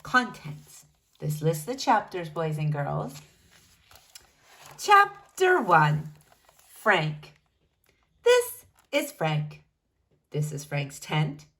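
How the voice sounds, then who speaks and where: Slow, very animated woman reading to children. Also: deep voice. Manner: deliberate, expressive, addressing young listeners. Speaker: storybook reader for children. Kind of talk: children's read-aloud narration.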